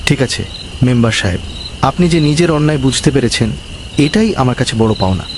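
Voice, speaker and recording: Man as humble honest villager, polite, earnest, cartoon acting, medium pitch, clear insect noise. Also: animated, fast, lightly acted. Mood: earnest, forgiving. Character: simple, honest, forgiving poor male villager.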